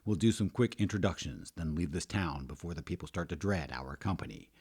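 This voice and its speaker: A man's voice: sits low, lively intonation, fairly quick, darkish tone, breathy edge, heavily acted. A man voicing a reserved, awkward young male co-leader of a fantasy monster-hunting guild. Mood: matter-of-fact.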